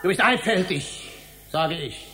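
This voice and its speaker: A man's voice: sits high, animated, measured pace, pushed and bright, slight background noise, full character voice, low-quality recording. A man in a German radio drama playing an obsessed, stubborn historian who argues insistently and domineeringly. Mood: harsh, agitated. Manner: insistent, agitated, argumentative.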